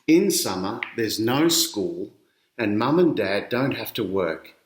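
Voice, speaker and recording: A middle-aged Australian man reading a picture book aloud to children, chatting to his young listeners along the way. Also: medium pitch. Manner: addresses children directly with questions and explanations. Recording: roomy, echoey indoor sound.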